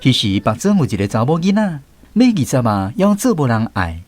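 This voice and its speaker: Radio host guy narrating a story, lots of pitch movement, soft music underneath. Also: very animated, a little fast.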